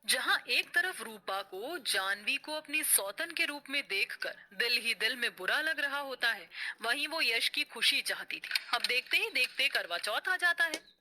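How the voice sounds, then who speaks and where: A woman narrates a scripted drama as storyteller. Her voice is a little high and very bright, almost piercing. The delivery is dramatic. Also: animated, unhurried, a little hiss or hum.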